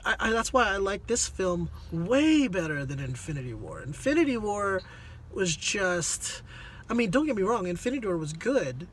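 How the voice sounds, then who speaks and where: A man's voice: sits high, big pitch swings, normal speed, slight background noise. A man giving a casual conversational movie review, hesitant and rambling as he searches for words. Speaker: film reviewer on a video channel.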